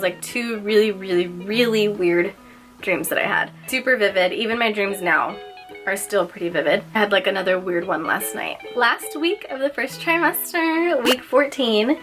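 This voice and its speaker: A woman's voice: mid-range voice, a bit bright. Young woman, nasal, congested, chatty, filler-heavy, faint music behind. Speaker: vlogger. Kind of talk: casual personal vlog.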